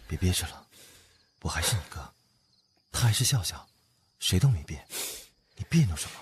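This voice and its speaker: A man playing a steady, protective older brother and boyfriend in an audio drama, calm, firm and coaxing. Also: deep voice, normal speed, a little hiss or hum. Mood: firm, placating. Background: background music.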